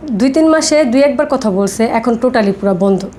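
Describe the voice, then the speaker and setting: A woman's voice: a little high, animated, brisk, dark tone. A woman with a Bangladeshi accent giving a personal account as an interview guest, insistent and conversational. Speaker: podcast guest. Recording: faint background noise.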